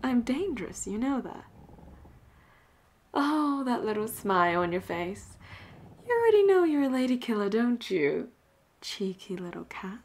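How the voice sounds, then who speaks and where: A woman voicing a flirtatious, teasing, commanding pirate captain in an intimate audio roleplay, often dropping into breathy whispers. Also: medium pitch, darkish tone. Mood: threatening, then flirty, teasing.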